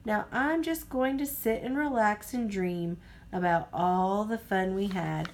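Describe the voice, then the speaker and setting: A woman voicing a jolly, contented old mountain grandmother with a folksy, unhurried manner for a picture book. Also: mid-range voice, lightly acted.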